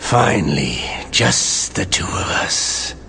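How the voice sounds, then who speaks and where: Sly, sadistic game interrogator. Low, creaky, mockingly menacing drawl. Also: male voice, very animated, slow pace, exaggerated voice. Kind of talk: dramatic video game acting.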